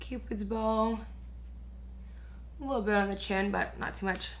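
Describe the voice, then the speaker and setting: A young woman chatting through a makeup tutorial with a raspy, hoarse voice, gushing and peppering her talk with filler words. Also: medium pitch, fairly even intonation, measured pace, a little hiss or hum. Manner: gushing, chatty, lots of "like" and "you guys". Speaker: beauty vlogger. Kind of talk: casual makeup tutorial chat.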